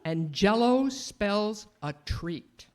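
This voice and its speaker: A woman's voice: medium pitch, lively intonation, very slow, soft background music. A woman reading a scripted 1930s-style radio advertisement in a folksy, emphatic manner. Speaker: commercial announcer.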